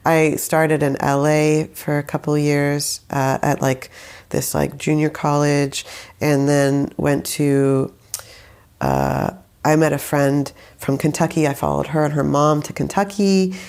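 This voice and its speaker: A young woman with a low, gravelly voice tells her story in an easy, unscripted way. She wanders through her thoughts, circling back with "like" and "I don't know" as she goes. Her tone stays relaxed and conversational. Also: unhurried. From Saudi Arabia. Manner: rambling, hesitant, many fillers and restarts. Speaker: guest, musician. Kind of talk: casual interview conversation.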